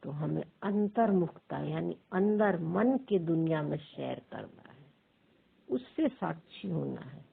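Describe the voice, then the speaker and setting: A woman leads a guided meditation as a teacher, pausing between short, measured phrases in a husky, creaky voice. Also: deep voice, expressive. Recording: thin phone-like sound.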